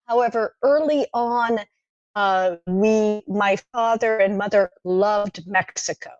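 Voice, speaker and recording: A woman's voice: medium pitch, no echo. Thoughtful woman with dry, muffled sound. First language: French. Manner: thoughtful, halting, frequent fillers and restarts, light laughter. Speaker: playwright guest. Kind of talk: podcast interview, reminiscing.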